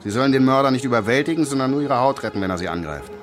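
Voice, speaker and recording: An actor playing a gruff, commanding police inspector in a German radio drama, speaking in a terse, sardonic way. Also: male voice, medium pitch, expressive, very fast, natural voice. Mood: stern, commanding. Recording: music bed behind the voice.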